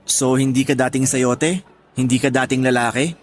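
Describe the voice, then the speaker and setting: A man's voice: mid-range voice, fairly even intonation, normal speed, a little hiss or hum, natural voice. A man playing a charming, flirtatious younger man who jokes a lot. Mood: joking. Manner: smooth, joking, flirtatious. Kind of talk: radio drama acting.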